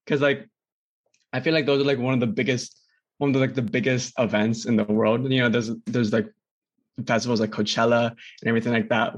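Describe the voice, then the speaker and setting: Young man, from Indonesia, podcast co-host, rambling, filler-heavy, mid-pitched voice. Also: highs cut off. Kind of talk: casual chat.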